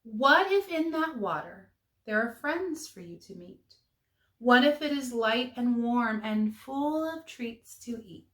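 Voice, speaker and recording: A woman voicing a gentle, reassuring mother penguin in a storybook read-aloud. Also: a little high, expressive, takes it slowly, echoey room. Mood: soothing. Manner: soothing, coaxing.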